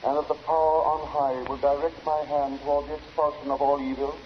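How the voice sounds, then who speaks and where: An actor playing an intense, pious and sinister gentleman lodger in 1940s radio style, formal and sermonizing. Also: on the high side, flat delivery, fairly quick, big-room sound, voice pushed up, natural voice. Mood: wounded, fervent. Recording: muffled, noisy 1940 radio recording.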